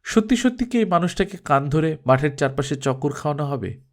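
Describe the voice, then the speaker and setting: A man's voice: a little high, lively intonation, a little fast. A man reading a story aloud as an audiobook narrator, in a dramatic, scripted storytelling style.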